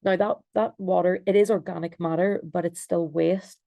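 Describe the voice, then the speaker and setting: Irish woman talking thru her slides on a zoom call, low flat voice, lots of ums. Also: normal speed, creaky, dry recording. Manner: hesitant, many fillers and restarts. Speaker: designer and researcher. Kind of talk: presentation over video call. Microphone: video call.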